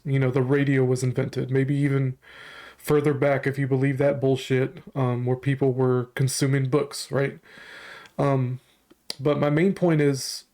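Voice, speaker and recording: A man talks to camera in a loose, rambling way, stopping for ums and restarting his sentences, with a bit of leftover congestion and a slight cough. His pitch stays flat, and his tone is soft and mellow rather than bright. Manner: rambling, halting delivery with frequent ums and restarts, casual swearing. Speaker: commentary channel host. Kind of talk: casual reaction commentary to camera.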